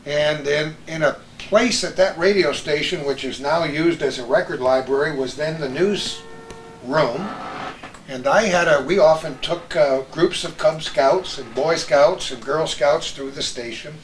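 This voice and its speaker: A man's voice: on the high side, unhurried. An older American man hosting a chat and telling anecdotes about his radio days with old colleagues. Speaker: host, veteran radio broadcaster. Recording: roomy echo with some background noise.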